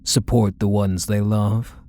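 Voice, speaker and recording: A man playing a possessive, controlling kidnapper husband in an ASMR roleplay, deliberate and menacing, with condescending endearments. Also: lowish voice, flat delivery, warm tone. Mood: possessive, smug. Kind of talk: scripted ASMR roleplay, addressing the listener.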